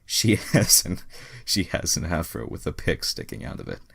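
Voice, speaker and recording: Very deep, gravelly young man reading aloud. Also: big pitch swings, normal speed, a bit bright. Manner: sarcastic, profane asides. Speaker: reader. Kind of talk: mocking dramatic reading of amateur fan fiction.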